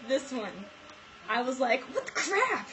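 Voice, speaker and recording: A young woman co-hosting casually, retelling fairy tales for comedy in an excitable, giggly, mock-outraged manner. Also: high voice, a little slow, poor audio. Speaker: vlog co-host.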